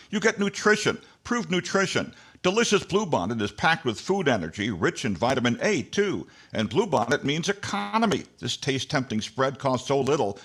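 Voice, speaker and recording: A man's voice: mid-range voice, fairly bright tone, husky. An older man playing an emphatic 1940s radio announcer reading sponsor copy. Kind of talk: 1940s radio advertising read. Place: home room, video call.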